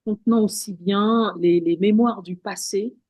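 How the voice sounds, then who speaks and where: A woman's voice: mid-range voice, steady tone, a little slow, darkish tone, no echo. Earnest woman with repetitive phrasing. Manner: earnest, repeats words and restarts often. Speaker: guest, women's wellness practitioner. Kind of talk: spiritual interview talk.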